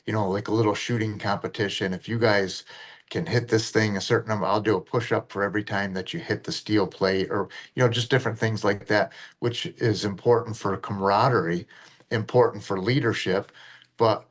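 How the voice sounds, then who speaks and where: Low monotone middle-aged man. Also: a little fast. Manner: earnest, plainspoken storytelling with fillers. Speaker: deputy sheriff and speaker. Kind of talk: conversational podcast interview.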